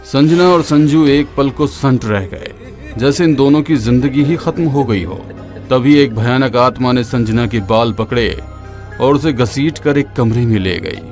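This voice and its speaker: A man narrating a horror story in a steady, dramatic way, dropping lower at the grim moments. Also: a little slow. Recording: narration over loud background music.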